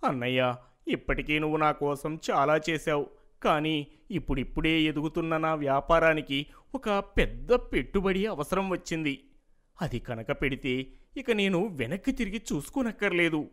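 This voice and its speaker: A man's voice: a little high, lively intonation, normal speed, fairly bright tone. A man playing a scheming, greedy younger brother in a cartoon moral story. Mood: wheedling.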